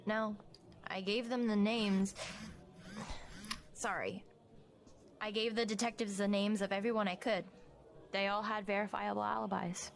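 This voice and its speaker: A woman's voice: mid-range voice, level tone, unhurried, gravelly, pauses often. An actress playing a composed, stubborn young woman journalist in naturalistic audio drama dialogue. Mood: composed, guarded.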